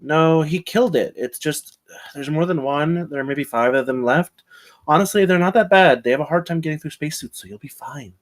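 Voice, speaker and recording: A man's voice: a little high, brisk, lightly acted. A man reading the part of a talkative, nervous stranded scientist at a screenplay table read. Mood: matter-of-fact.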